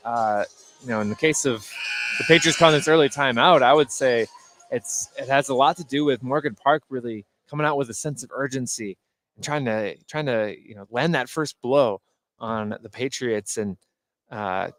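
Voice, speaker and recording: A man giving conversational basketball analysis as a color commentator, informal and often pausing to find his words. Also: mid-range voice, a bit bright, soft background music. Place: indoor basketball gym, courtside. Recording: live gym broadcast, faint background noise.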